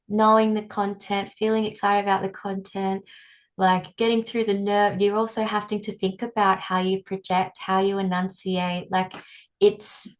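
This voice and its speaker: A woman's voice: mid-range voice, rough recording. A woman hosting a webinar, chatting in an engaged, curious way and asking her guest questions over a video call. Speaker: webinar host. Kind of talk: casual webinar conversation.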